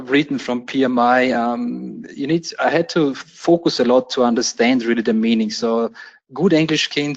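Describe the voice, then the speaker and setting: A man's voice: mid-range voice, muffled recording. A man from Austria with an Austrian German accent, a German native speaker talking casually and hesitantly as a guest on a webinar, with many fillers and restarts. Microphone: webinar call audio.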